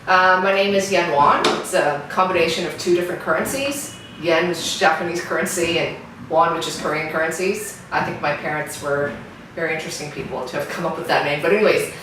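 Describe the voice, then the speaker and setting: A woman's voice: deep voice, a little fast. A middle-aged woman with a Canadian accent, answering interview questions in a thoughtful, reflective way with frequent restarts. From Singapore. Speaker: interviewee, fitness client. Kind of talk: casual interview testimonial. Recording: echoey room from far, with background noise.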